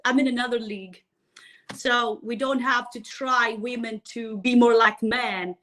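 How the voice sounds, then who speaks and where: Woman, Greek accent, metal vocalist guest, casual chat, laughs a lot, bright voice. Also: on the high side, fairly even intonation, measured pace. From Greece. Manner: chatty, laughs often, frequent fillers. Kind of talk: casual live-stream interview.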